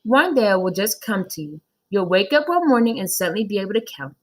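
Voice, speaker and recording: A woman voicing a female schoolteacher in a children's storybook, patient but firm, correcting and instructing in a measured manner. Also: medium pitch, very animated. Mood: reassuring. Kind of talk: children's storybook read-aloud.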